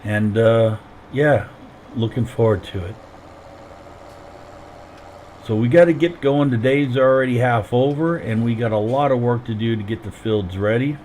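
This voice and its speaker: A man's voice: mid-range voice, moderate pace, a little mellow, slight background noise, low-quality recording. A man streaming a farming game while sick and losing his voice, explaining each step as he plays into a headset. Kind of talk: casual gameplay commentary.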